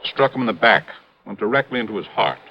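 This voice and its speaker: An American actor playing a blustering, big-hearted older mine owner in a 1950s radio drama, gruff, emphatic and booming. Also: male voice, lively intonation, fast. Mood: grave, baffled. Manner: emphatic, gruff, swinging from bellowing to grave. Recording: muffled, noisy old radio recording.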